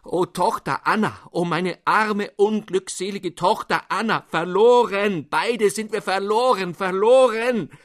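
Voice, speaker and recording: A man's voice: high voice, measured pace, hardly any pauses, voice pushed up. A man voicing a despairing old father who howls and wails in panic. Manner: wailing, howling.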